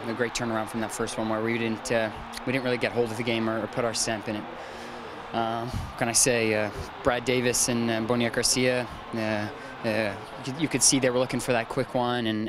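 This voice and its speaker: A man's voice: on the low side, noisy background. A young man, a football player, giving a hesitant locker-room interview into a handheld microphone, full of uh fillers.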